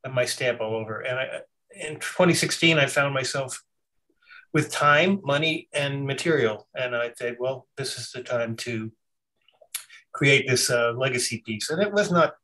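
Male musician guest, off-mic, halting casual talk. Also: medium pitch, fairly bright tone. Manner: halting, self-correcting storyteller. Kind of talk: casual interview chat. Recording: distant from the mic.

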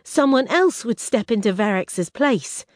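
A woman voicing a cautious, sharp-minded young woman in an audiobook, with a guarded, questioning manner. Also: fairly high pitch, lively intonation, unhurried, no echo, clean studio sound, pitched above the performer's own voice. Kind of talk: audiobook character reading.

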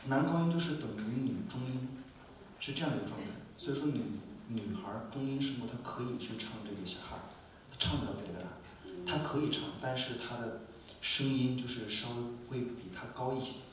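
A man from Inner Mongolia explains music to a seated audience, unhurried and full of small fillers. His voice sits at an ordinary pitch. It is picked up from a distance in a very echoey lecture room with faint background noise. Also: fairly bright tone. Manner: measured explanation with many fillers. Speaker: visiting musician. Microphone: mic held, heard from a distance.

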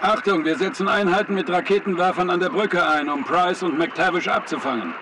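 A man playing a brisk, focused military air-support soldier, clipped and procedural, in a video game. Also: sits high, background noise, lightly acted. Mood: focused, urgent.

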